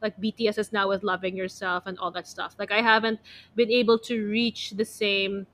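A woman's voice: medium pitch, flat delivery, normal speed, fairly bright tone. A young Filipino woman co-hosting a casual podcast, chatting in a rambling way peppered with "like". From Philippines. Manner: chatty, rambling, heavy use of "like". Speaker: podcast co-host. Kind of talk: casual chat about pop music.

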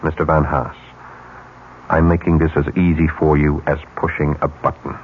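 An actor playing a smooth, calculating broker of arranged murders in a 1970s radio drama, persuasive and businesslike. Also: male voice, extremely low pitch, little pitch movement, normal speed. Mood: quietly persuasive. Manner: businesslike, persuasive, coolly insinuating. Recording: muffled, noisy 1970s radio broadcast.

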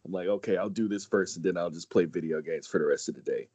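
A man's voice: fast talker, slightly rough, dry recording. A man in his late thirties from San Diego, a podcast guest chatting casually and swearing freely. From San Diego, USA. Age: 30s. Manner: swears freely, jumps high in pitch when animated. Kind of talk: casual chat.

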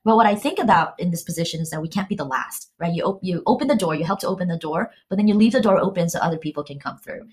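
A woman law school dean from the Philippines speaks humbly in an interview, often laughing. Her voice sits a little low, and she restarts her sentences and fills pauses as she talks. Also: lively intonation. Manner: warm and humble, laughs easily, fillers and restarts. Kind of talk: personal interview answers.

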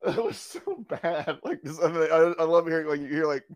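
Casual male podcast co-host, voice a little high, recorded dry and close. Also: animated, brisk, no echo. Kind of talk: casual chat about films and TV.

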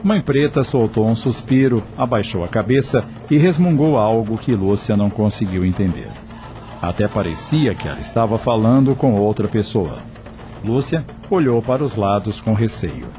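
A man's voice: lowish voice, lively intonation, moderate pace, dark tone, faint music behind. A man with a Brazilian accent giving scripted dramatic narration for a radio serial.

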